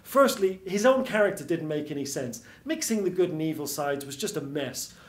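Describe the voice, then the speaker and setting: A man in his thirties narrates a noir tale in radio-play style. His voice is fairly high for a man and carries the roomy sound of a small theatre stage. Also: lively intonation, normal speed. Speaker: storyteller. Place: small theatre stage before seated audience.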